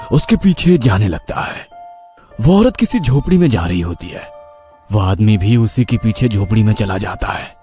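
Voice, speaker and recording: Deep, animated male horror narrator over music. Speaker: story narrator. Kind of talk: dramatic scripted horror story narration in Hindi. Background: background music.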